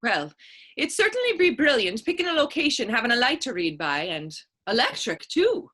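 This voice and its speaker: An actress playing a sassy, quick-witted young Irish woman in a stage play reading, saucy and challenging in folksy phrases. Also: on the high side, very animated, fairly bright tone. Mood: mock offended. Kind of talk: stage readers theater dialogue.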